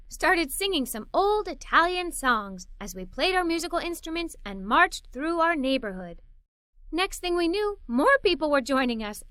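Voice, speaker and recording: Children's storybook lead: curious, adventurous little girl, played by a woman, very lively and bright, expressive and playful. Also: high voice, no echo, clean studio sound, full character voice. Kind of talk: scripted children's story read-aloud.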